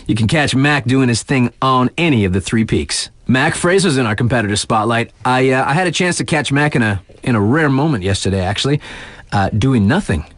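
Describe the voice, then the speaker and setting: A male actor's take on a laid-back sports radio DJ for a snowboarding game. He has a mid-pitched male voice that moves around a lot, in a dry studio sound. His delivery is chatty and slangy, slipping from announcer patter into casual, halting asides. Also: animated, no room sound, close to an everyday voice. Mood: musing, amused.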